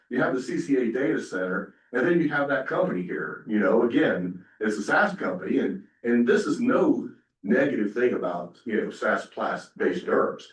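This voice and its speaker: Man, conference panelist, folksy and casual, roomy echo, distant mic. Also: medium pitch. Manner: casual, folksy, many fillers. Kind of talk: conference presentation. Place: conference room. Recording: echoey room, speaker far from mic.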